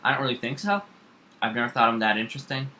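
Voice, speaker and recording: A man chatting casually, animated and opinionated, nitpicking in quick bursts. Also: mid-range voice, slight background noise. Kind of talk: casual chat.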